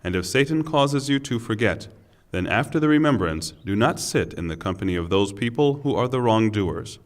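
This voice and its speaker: A man reading a scripture translation in a solemn, measured and formal scripted delivery. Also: on the low side, expressive.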